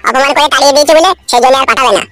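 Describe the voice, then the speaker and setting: A woman's voice: sits high, rapid-fire, a bit bright, dry recording. A woman voicing an aggrieved, foul-mouthed young cartoon son-in-law who argues and complains sarcastically. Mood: sulky accusing. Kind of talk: crude comedy cartoon dubbing.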